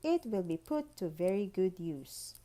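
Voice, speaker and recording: A woman's voice: mid-range voice, big pitch swings, measured pace, warm tone. A woman reading the letters of a courteous, formal tooth fairy in a proper storybook manner. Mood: grateful, approving.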